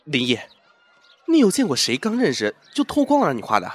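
Flustered schoolboy, played by a man, wildly swinging pitch. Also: huge pitch swings, a little fast, in a large hall, soft background music. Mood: indignant. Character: flustered male high-school student, exasperated, secretly smitten. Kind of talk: amateur Chinese audio drama acting.

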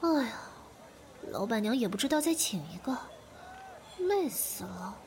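A woman playing a chatty young shop worker in a radio drama, lively and inclined to grumble. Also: fairly high pitch, unhurried, slight background noise. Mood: busy, weary.

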